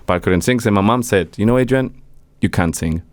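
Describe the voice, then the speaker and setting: A man's voice: on the low side, fairly quick, dry recording. A young man from Mexico, a singer-songwriter, chatting casually as an interview guest and telling stories with frequent laughs. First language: Spanish. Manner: animated storyteller, laughs often.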